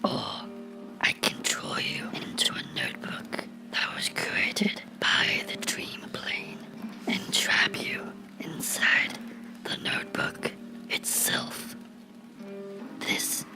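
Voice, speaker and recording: A performer in a horror ASMR role-play voicing a vengeful fictional cartoon character, whispering with slow, deliberate menace and a gravelly edge. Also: rumbling low, heavily acted. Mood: gloating, secretive, then cruel, menacing. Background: music.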